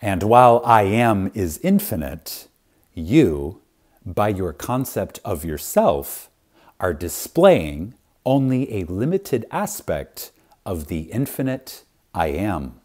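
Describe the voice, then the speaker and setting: A man narrating a spiritual audiobook in a reverent, deliberate manner, with dramatic pauses and strong emphasis. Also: lowish voice, very animated, a little mellow. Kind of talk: scripted audiobook reading of a spiritual text.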